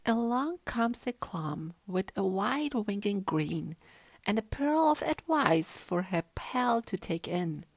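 A woman reading a children's story aloud with an expressive, rhythmic rhyming delivery. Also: mid-range voice, slow, no room sound. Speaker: storybook reader.

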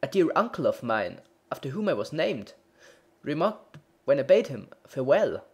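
Man playing a tearful storybook baker, mild, anxious, plaintive, husky, pitch rising and falling. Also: sing-song, moderate pace, full character voice.

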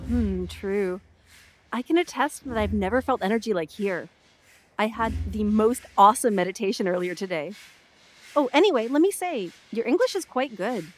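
A woman's voice: medium pitch, lively intonation, normal speed, a little hiss or hum, lightly acted. A woman playing a chatty radio host in an audio drama, friendly, inquisitive and a little wry. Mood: curious, wry. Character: curious, chatty female Canadian radio show host.

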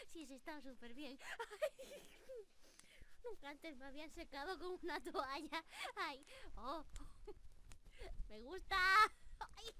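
A woman voicing a young squirrel monster girl in an anime-style ASMR roleplay, excitable, ditzy and stammering. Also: very high-pitched, a little hiss or hum, heavily acted. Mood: giggly, delighted. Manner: bubbly, excitable, stammering, giggly.

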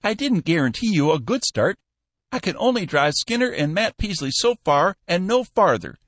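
A crusty old tycoon with a shrill, piping voice pitched far above a normal man's, bossy and wheedling by turns, as a male narrator puts him on. The delivery swoops up and down, close and dry with no room sound. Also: big pitch swings, moderate pace, fairly bright tone, no room sound, full character voice. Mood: scolding, cajoling. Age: older. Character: shrill, feisty old businessman, crusty but soft-hearted.

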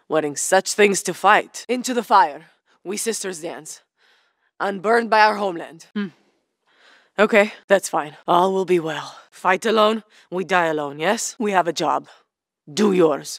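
A woman's voice: no echo, clean studio sound. An actress playing a tough female guerrilla fighter, barking short combat callouts in a video game. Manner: barked combat callouts, then low calm asides. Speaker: game soldier character.

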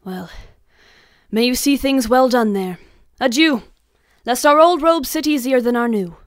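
A woman's voice: high voice, unhurried. A woman playing a grave, passionate Scottish noble in a Shakespearean radio drama. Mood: grim, wary.

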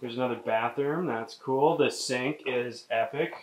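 A woman's voice: very deep voice, measured pace, a little mellow, slight background noise. A woman giving a casual, upbeat walkthrough of a large empty old mill, chatting with the people around her. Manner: upbeat, chatty, keeps calling others by name. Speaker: distillery owner giving a tour.

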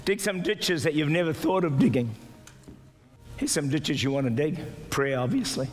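An older man preaching a sermon as pastor, exhorting the congregation, sometimes shouting and mimicking doubters in a higher voice. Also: lively intonation, moderate pace. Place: large church auditorium with stage. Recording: live church hall reverb with music. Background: soft music and congregation responses.

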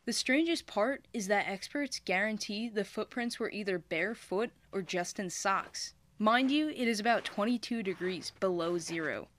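A woman's voice: medium pitch, expressive, moderate pace, hardly any pauses, fairly bright tone, a little hiss or hum. A woman narrating a scripted mystery podcast as a storyteller. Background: background music.

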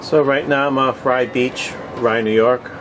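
A man giving a casual video tour in short, halting, plainspoken phrases, recorded outdoors on a boat deck with a handheld camera. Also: mid-range voice, steady tone. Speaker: host of a cooking vlog. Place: open deck of a boat on the water. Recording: outdoor ambient noise.

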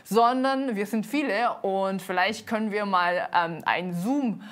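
Earnest woman hosting a studio show. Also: mid-range voice. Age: 30s. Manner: earnest, halting with many fillers. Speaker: host and dance team leader. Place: TV studio set. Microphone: lapel mic.

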